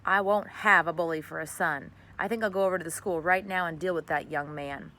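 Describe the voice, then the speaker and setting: A woman voicing a firm, reasoning father in a children's storybook, measured and lecturing. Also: fairly low pitch, fairly even intonation, pushed and bright, slight background noise. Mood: stern. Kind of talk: children's storybook read aloud.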